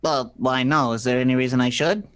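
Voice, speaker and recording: A man's voice: medium pitch, brisk, a bit bright, smooth, lightly acted. A man playing a flustered romantic on the run in an old-fashioned radio play, stammering and pleading. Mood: awkward, curious.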